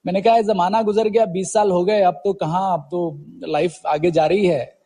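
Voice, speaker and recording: A man in his forties from Uttarakhand with a husky voice, telling his story conversationally as an interview guest, mixing in English words. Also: sits high, steady tone, normal speed, dark tone, in a large hall. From Uttarakhand, India. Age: 40s. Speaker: guest poet.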